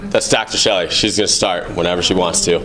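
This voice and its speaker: A man's voice: low voice, fairly even intonation, fast talker, rough recording. A man hosting the event, joking with the crowd and introducing the speaker. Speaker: event host. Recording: heavy background noise.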